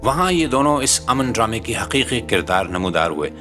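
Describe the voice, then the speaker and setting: A man's voice: mid-range voice, big pitch swings, normal speed, music in the background. A man from Pakistan with a Pakistani accent delivers scripted political commentary in an indignant, dramatic, rhetorical way, using formal literary wording. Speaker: commentator, channel presenter.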